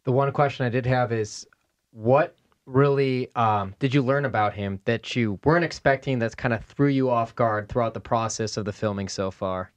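A man's voice: medium pitch, moderate pace. A young man hosting a podcast in a studio, asking guests chatty questions. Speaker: podcast host. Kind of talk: casual interview. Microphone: studio mic on boom arm, headphones.

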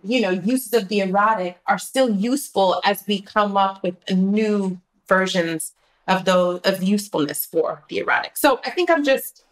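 Woman, mid-pitched voice, dramaturg on a discussion panel, emphatic and rhetorical. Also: moderate pace, low-quality recording. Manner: emphatic, rhetorical, often checking with "right?".

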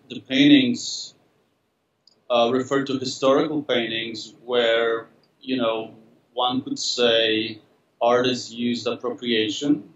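A middle-aged man, answering interview questions slowly over a video call, with many fillers and restarts. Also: medium pitch. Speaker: interviewed artist. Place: studio room, indoors. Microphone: laptop webcam on a video call. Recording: roomy, distant, dull video call audio.

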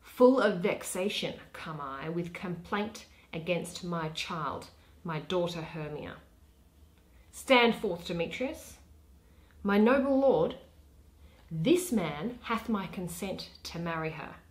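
Woman reading as an indignant, slow-spoken old father. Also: fairly low pitch. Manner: indignant, declamatory, emphatic. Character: angry, aggrieved old father pleading before a duke. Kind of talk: Shakespearean verse reading.

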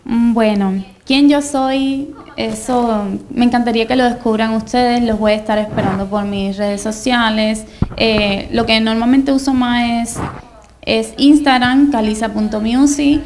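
A woman's voice: on the high side, steady tone, unhurried, a little mellow, slight background noise. A young woman from Cuba, a singer, chatting in a casual TV studio interview with a handheld mic, bubbly and giggly. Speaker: guest singer. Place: TV studio set.

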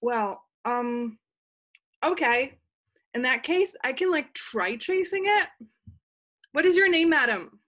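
A woman's voice: high voice, expressive, slow pace, long pauses, a bit bright, heavily acted. A young woman playing a ditzy, casual, apologetic chief operator in a comic play, hesitant and full of filler words. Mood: vague, unhurried. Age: young adult. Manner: hesitant, filler-laden valley-girl phrasing. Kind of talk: comic stage-play acting over video call. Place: small plain room at home. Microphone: webcam video call.

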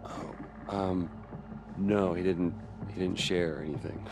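A man playing a young rebel with superpowers in a video game, casual and wisecracking with a halting delivery. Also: deep voice, fairly even intonation, vocal fry, music in the background, heavily acted. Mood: nervous. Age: young adult. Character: cocky, nervy young male rebel with superpowers. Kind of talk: video game cutscene acting.

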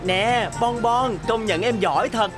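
A woman's voice: fairly high pitch, unhurried, lightly acted. A woman voicing a friendly, boyish young city bus who talks like an encouraging big brother in a children's cartoon. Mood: cheerful. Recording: loud music behind.

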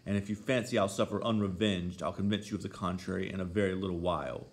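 A man's voice: low-pitched, a little fast, gravelly. A man voicing a brooding, vengeful villain in a story, growling, bitter and defiant.

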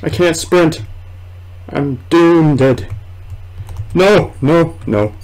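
A young man giving casual, excitable commentary while playing a horror game, muttering and exclaiming to himself. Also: fairly high pitch, drawn-out words, soft background music. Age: young adult. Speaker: gamer recording a let's play. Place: small indoor room at home.

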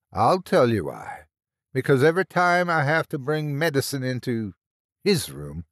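A man's voice: fairly low pitch, creaky, no echo, clean studio sound, full character voice. A man voicing a fierce, motherly old Irish woman, a salty, scolding hospital nurse in an audio story.

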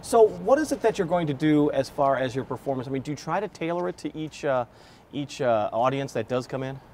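Lively middle-aged American man hosting. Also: mid-range voice, brisk, slight background noise. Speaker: TV host, interviewer. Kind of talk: public TV interview. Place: large decorated indoor hall.

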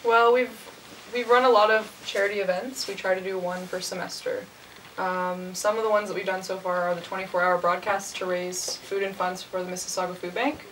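A woman's voice: mid-range voice, level tone, fairly quick, fairly bright tone. A woman in her twenties giving casual, explanatory answers as an interview guest. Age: 20s. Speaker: interview guest, radio station manager. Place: room with couches, amps and instruments. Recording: echoey room, distant mic, faint noise.

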